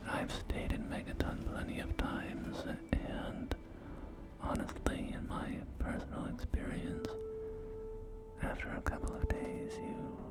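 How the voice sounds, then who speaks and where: A man playing a folksy post-apocalyptic real estate agent in a whispered ASMR roleplay, with gentle, hesitant, reassuring sales patter. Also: a little slow, close to an everyday voice. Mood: calmly reassuring. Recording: close whisper over soft music.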